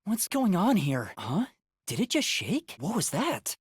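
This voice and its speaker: A man voicing a timid, earnest young male trainee detective in a video game, with a light, soft, boyish voice and a hesitant manner that stammers when flustered. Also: sits high, wildly swooping pitch, measured pace, slightly rough, dry recording, studio quality, full character voice. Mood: confused, uneasy. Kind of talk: video game voice acting, dramatic lines.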